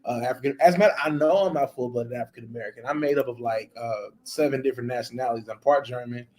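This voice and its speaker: A man from Louisiana, a guest on a casual podcast, talking in a quick, emphatic way. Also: mid-range voice, a little mellow, rough recording. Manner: quick, emphatic, punctuated by "you know what I'm saying".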